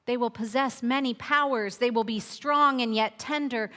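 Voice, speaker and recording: A woman voicing a wise, ancient female turtle who speaks in slow, soft, solemn pronouncements. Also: on the high side, in a large hall, close to an everyday voice. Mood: prophetic.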